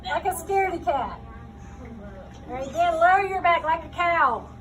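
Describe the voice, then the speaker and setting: Woman, high voice, yoga teacher, short instructional cues, far from the mic, clear background noise. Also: animated, moderate pace, a bit bright. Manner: instructing step by step with frequent check-in questions. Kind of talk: leading a children's yoga class with short spoken cues. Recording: distant mic, clearly noisy room.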